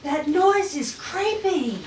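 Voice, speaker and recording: A woman's voice: very high voice, expressive, slow, full character voice. A woman playing a young, dutiful but dryly sassy rocket navigator in a retro space adventure read-through. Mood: uneasy, dry. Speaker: actor at a script table read. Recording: echoey room, distant mic, noisy.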